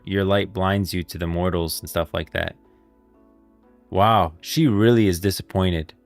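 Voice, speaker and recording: A man with a deep voice gives casual, emphatic commentary as a livestreamer. The sound is close and dry, with faint music behind him. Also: no echo. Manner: emphatic, repeats himself. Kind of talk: casual reaction commentary on game lore.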